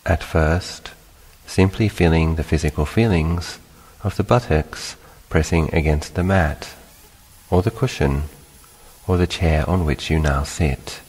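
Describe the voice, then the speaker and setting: A man guides a meditation in a very deep, bass voice. His delivery is slow, calm and instructional, leaving long pauses between short phrases. The sound carries a long reverb, as in a large hall. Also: husky. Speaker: meditation teacher, monk.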